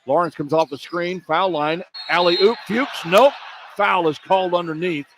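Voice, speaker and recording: A man gives live radio play-by-play of a basketball game in a somewhat high voice. His phrasing is clipped and keeps running with the action, punching up on big plays. Faint arena noise sits behind him. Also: measured pace. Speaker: play-by-play announcer. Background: arena ambience.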